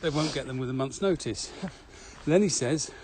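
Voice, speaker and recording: A man's voice: mid-range voice, normal speed. A man talking casually as co-host of a podcast. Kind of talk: casual conversational podcast chat. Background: steady ambient noise.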